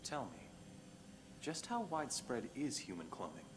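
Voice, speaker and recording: An actor playing an inquisitive, skeptical reporter in a video game cutscene, with a questioning, conversational manner. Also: male voice, medium pitch, sing-song, moderate pace, close to an everyday voice. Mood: probing.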